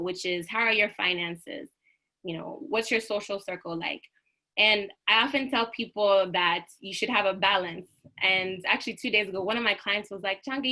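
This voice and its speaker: A woman's voice: mid-range voice, bright, forceful tone. A young woman raised in the United States gives an earnest, reflective prepared talk on a video call, speaking like a lecturer. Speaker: panel speaker.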